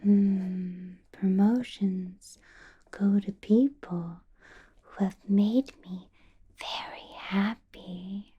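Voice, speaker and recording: A woman voicing a possessive, coolly menacing vampire boss in an ASMR roleplay, whispering slowly and deliberately with musing hums. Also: mid-range voice, steady tone, lo-fi sound, heavily acted. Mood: coolly probing. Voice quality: soft, breathy whisper. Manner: slow, deliberate, drawn-out pauses, musing hums.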